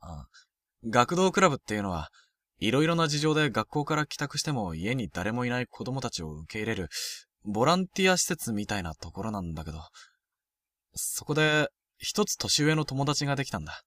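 A man playing a frank, proud, sporty first-year high school boy in a Japanese youth romantic comedy voice drama. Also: very animated, fairly quick, fairly bright tone, no echo. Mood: casual, reflective.

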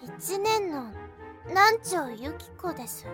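A woman playing an earnest, reserved high school girl who narrates her own story in a youth voice drama. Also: big pitch swings, slow pace, music in the background, exaggerated voice. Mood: polite, hesitant. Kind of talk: scripted narration in an anime-style voice drama.

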